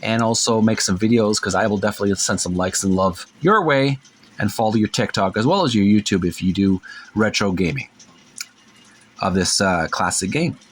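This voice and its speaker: A man's voice: lowish voice, normal speed. Rambling, folksy man hosting casually. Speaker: host of a retro gaming channel. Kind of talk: casual show-and-tell commentary, reading book captions aloud.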